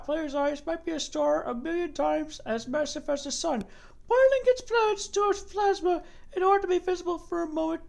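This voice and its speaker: Woman voicing a high, dreamy cosmic god. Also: vocal fry, a little mellow. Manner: dramatic, emphatic delivery. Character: high-voiced godlike cosmic being, fervent and dreamy. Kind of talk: dramatic reading of game dialogue.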